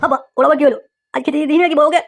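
High-pitched, rapid-fire whiny cartoon schoolboy. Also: female voice, fairly even intonation, gravelly. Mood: demanding, content. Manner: pleading, whiny, peppered with exclamations. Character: lazy whiny schoolboy cartoon rascal dreaming of marriage. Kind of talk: comic cartoon dialogue.

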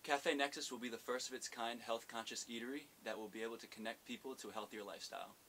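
A young man in his twenties, the owner of a cafe, delivering a rehearsed business pitch to camera in an earnest, steady way, with the odd slip. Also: fast talker. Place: indoor studio set. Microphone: fixed camera framing, head and shoulders. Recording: faint hiss.

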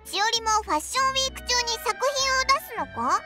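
A tiny childlike game sprite with an extremely high, swooping voice. The little creature is curious and excitable, talking in blunt boyish phrases over loud music. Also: female voice, fast talker. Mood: curious, impressed. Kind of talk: video game voice acting in Japanese.

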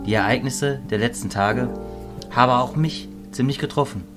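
A man playing a kindly, fatherly old wizard leader, speaking calmly and considerately in a fantasy audio drama. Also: medium pitch, moderate pace, music in the background, lightly acted. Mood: grave, caring. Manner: calm, considerate.

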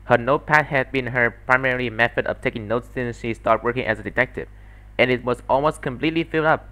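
A man's voice: mid-range voice, fast talker, sharp tone. Man narrating a visual novel story. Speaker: solo dubber and narrator. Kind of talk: scripted story narration, fandub.

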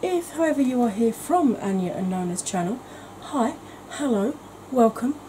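Rambling woman, mellow voice. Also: a little high, animated, moderate pace, slight background noise, low-quality recording. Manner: rambling, self-mocking, soft-spoken at times. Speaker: makeup vlogger. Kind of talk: casual chatty makeup vlog. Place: indoor home room, kitchen.

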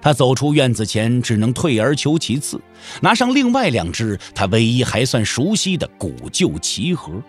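A man delivering dramatic, expressive scripted narration for a wuxia audio drama. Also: medium pitch, moderate pace, soft background music. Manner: expressive storytelling.

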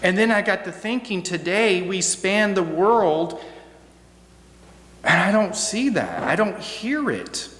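A middle-aged American man preaches slowly and reflectively in a fairly high voice. He leaves deliberate pauses between phrases, and his words ring with long reverb in a church. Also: sing-song, fairly bright tone. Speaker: guest preacher. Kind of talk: reflective sermon. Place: church sanctuary, at a pulpit. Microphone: gooseneck pulpit microphone. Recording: echoey hall, noticeable hum.

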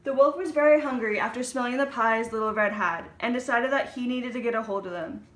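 Female storybook narrator, high and bright, scripted delivery, roomy home recording. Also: a little fast.

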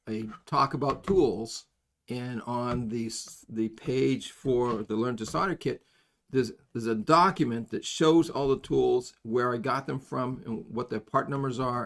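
An older man giving an informal hands-on demonstration as an instructor, in a halting, folksy, rambling way. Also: medium pitch, creaky. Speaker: soldering instructor. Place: indoors at a workbench.

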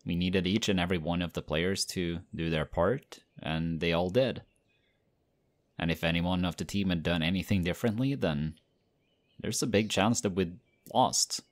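A man voicing a friendly, modest young male team captain in an audio roleplay, talking in a relaxed, chatty way. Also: low-pitched, moderate pace, natural voice. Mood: excited, friendly.

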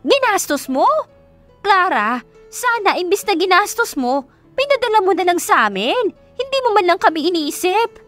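A woman voicing a greedy middle-aged mother who scolds and belittles her daughter in a shrill, demanding manner for an audio love story. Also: big pitch swings, moderate pace, a bit bright, faint music behind, full character voice. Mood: scornful scolding.

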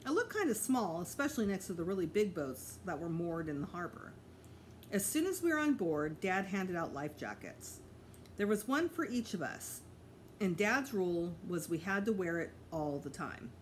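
A woman's voice: fairly low pitch, very animated, normal speed. A middle-aged woman reading a children's story aloud like a teacher to pupils, expressively and with small slips and self-corrections. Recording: clear background noise, home recording.

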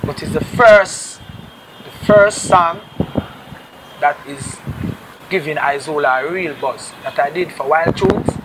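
Young man, Jamaican accent, patois storyteller, higher-pitched voice, wind and traffic noise. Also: slow pace, pauses often. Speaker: reggae singer, guest. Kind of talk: casual interview storytelling. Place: rooftop outdoors overlooking a city. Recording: loud outdoor noise, wind and vehicles.

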